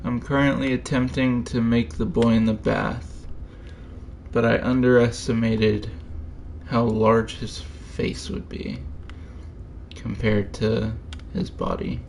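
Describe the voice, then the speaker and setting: A man streams a game and thinks aloud in short, muttered fragments. His delivery is slow and unhurried, with a fry-laden, creaky edge. Faint noise and keyboard clatter sit behind his voice. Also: mid-range voice, level tone, a little mellow. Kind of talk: casual thinking-aloud gameplay commentary.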